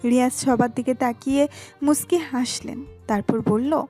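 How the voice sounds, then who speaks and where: High-pitched woman narrating a story. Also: measured pace, warm tone, faint music behind. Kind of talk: scripted narration of a romantic audio story.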